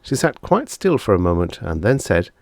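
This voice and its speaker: Low-voiced, expressive male story narrator. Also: moderate pace, gravelly, no echo, clean studio sound. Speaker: audiobook narrator.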